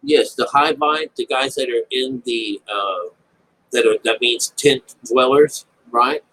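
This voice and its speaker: A man giving an informal spoken Bible commentary as an amateur teacher, rambling and halting, repeating phrases, with folksy, colloquial wording and the odd mild swear word. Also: mid-range voice, a bit bright, rough recording.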